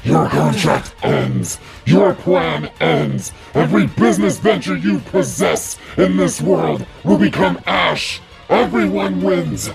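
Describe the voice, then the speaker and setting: Audio drama lead: actress as a fierce, menacing demigod, heavy vocal fry, grandiose formal delivery, loud music behind. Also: female voice, lowish voice, very animated, slow pace, hardly any pauses, full character voice. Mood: commanding, furious. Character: fierce, protective, vengeful demigod wolf heroine.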